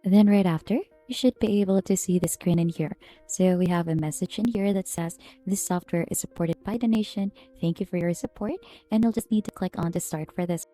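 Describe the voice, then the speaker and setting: Female tutorial narrator, lowish and soft-edged, instructional step-by-step delivery, music underneath. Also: brisk. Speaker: software tutorial presenter. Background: background music.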